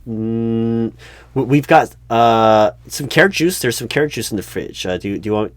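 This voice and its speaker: A man's voice: mid-range voice, moderate pace, no echo, close to an everyday voice. A man playing a polite, oblivious recruiting manager who stammers hesitantly and tactfully through a comedic one-sided job interview roleplay. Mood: awkward, hesitant.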